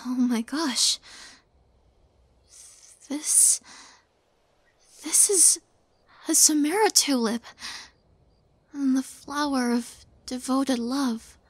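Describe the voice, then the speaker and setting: A woman playing a shy, tender young witch girlfriend in an intimate roleplay, speaking softly and haltingly in a breathy voice that often drops to whispers. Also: sits high, dry recording. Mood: awed, grateful. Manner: hesitant, halting, soft-spoken, often dropping to whispers.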